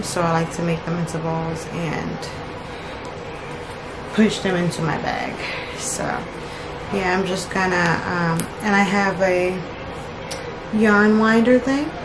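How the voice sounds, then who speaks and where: A woman's voice: on the low side, heavy background noise. A young woman in her bedroom, chatting casually about her crochet plans, thinking aloud and correcting herself. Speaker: crochet vlogger. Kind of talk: casual chat. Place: bedroom, indoors.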